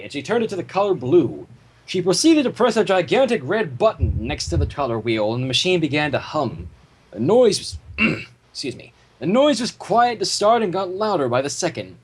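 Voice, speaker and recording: A man's voice: high-pitched, breathy edge. A man reading fan fiction aloud as narrator, animatedly, with casual asides. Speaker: story reader.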